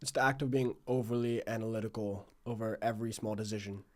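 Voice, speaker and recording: A man's voice: on the low side, steady tone, fast talker. Young man hosting a casual interview. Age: young adult. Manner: informal, reflective, frequent "like". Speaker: podcast host.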